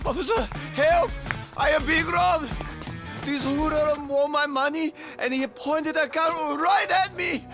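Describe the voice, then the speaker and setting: A man's voice: very high voice, unhurried. A man playing a slippery Hawaiian taxi driver and small-time crook in a video game, chatty and smug but cowardly, prone to stammering. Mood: whiny, pleading. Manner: chatty, stammering when flustered. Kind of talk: English video game cutscene dub acting. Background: music.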